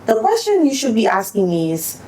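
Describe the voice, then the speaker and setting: A woman's voice: a little high, huge pitch swings, a little fast, mellow. Frank, slangy woman podcast co-host. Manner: frank, slangy, thinks aloud. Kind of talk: casual chat.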